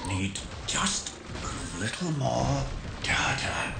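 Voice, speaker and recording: An actor voicing a calm, methodical tech genius of a rebel crew in a video game, speaking in a measured, analytical way. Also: male voice, medium pitch, gravelly, full character voice. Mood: calm, focused. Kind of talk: video game character acting. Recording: echoing voice under loud music.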